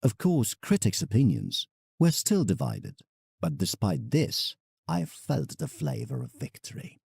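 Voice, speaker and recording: An actor playing an ambitious, self-mocking painter, narrating an audio drama in a dramatic, confessional way. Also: male voice, medium pitch, wildly swooping pitch, measured pace, creaky, a little mellow, slightly rough, no room sound, clean studio sound, exaggerated voice. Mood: proud, boastful. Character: proud, ambitious, self-mocking British landscape painter writing letters.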